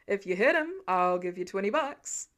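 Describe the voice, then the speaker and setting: A cocky superhero archer as a woman reader acts him out in a fan fiction story. He is a teasing male heckler, sarcastic and goading, with very animated intonation. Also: mid-range voice, moderate pace, voice pushed down, close to an everyday voice.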